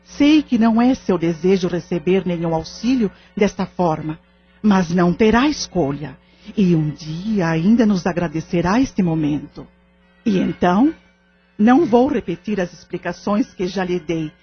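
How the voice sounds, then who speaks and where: A woman's voice: lowish voice, animated, a little slow. An actress playing a firm, insistent lady medium, speaking formally and with authority in an audio drama. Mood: insistent, stern. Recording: muffled lo-fi audio drama recording. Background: background music.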